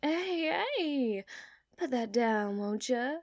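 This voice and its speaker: A woman voicing an obsessive, dominant outlaw cowgirl in a put-on Southern accent, for an ASMR roleplay, teasing and dropping into soft whispers. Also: fairly high pitch, lively intonation, drawn-out words, full character voice. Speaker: ASMR performer.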